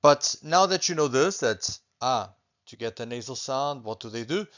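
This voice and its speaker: Middle-aged Portuguese man with an accent, patient beginners' teacher, mid pitch, slightly dull lo-fi sound. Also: lively intonation, normal speed, fairly bright tone. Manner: patient, reassuring, repeats points, often adds okay. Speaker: language teacher. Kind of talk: online language lesson.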